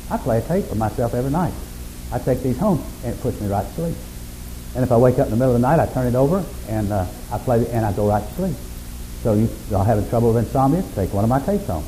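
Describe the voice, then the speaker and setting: A man preaching a sermon in a folksy, unhurried way, with self-deprecating humor and a monotonous, mellow voice. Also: mid-range voice, slight background noise, poor audio. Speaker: pastor.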